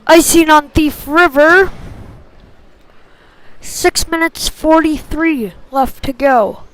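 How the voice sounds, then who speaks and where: A woman's voice: sits high, takes it slowly, poor audio. A woman doing live play-by-play commentary of youth ice hockey in short clipped phrases. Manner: short clipped phrases, excited on big plays.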